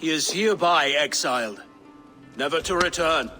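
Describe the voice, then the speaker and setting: Solemn medieval authority, video game, slow proclamation, fairly high, reverberant hall. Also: male voice, lively intonation, pushed and bright. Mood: stern. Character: stern medieval lord pronouncing exile. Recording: long hall reverb, faint music.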